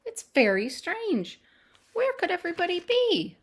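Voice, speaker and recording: A woman's voice: extremely high pitch, huge pitch swings, a little slow, pitched above the performer's own voice, exaggerated voice. A woman voicing an earnest, polite young storybook child with a questioning lilt and exaggerated politeness. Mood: earnest, curious.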